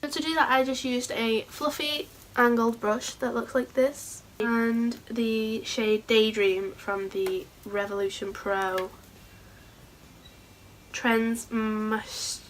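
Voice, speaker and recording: Brief: woman, hoarse from a sore throat, rambling and chatty beauty vlogger, fairly high voice. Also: fairly even intonation, slow pace, slight background noise, poor audio. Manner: chatty, rambling, a bit scatterbrained. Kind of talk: casual makeup tutorial.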